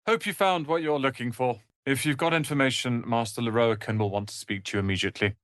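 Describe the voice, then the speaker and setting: A man's voice: medium pitch, fast talker, a bit bright, no room sound, studio quality, close to an everyday voice. An actor playing a haughty, prickly young male wizard's apprentice in a fantasy video game, with clipped, theatrical delivery. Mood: brisk, disdainful.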